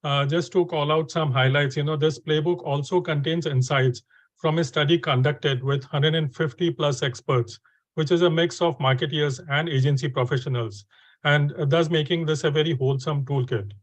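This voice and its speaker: A man's voice: on the high side, steady tone, normal speed, dry recording. A man hosting a webinar, speaking hesitantly with many fillers and restarts. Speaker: webinar host.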